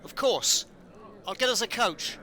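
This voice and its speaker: An actor playing a brisk, commanding police inspector from Victorian times in an audio play. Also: male voice, high-pitched, animated, sharp tone, exaggerated voice. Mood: businesslike.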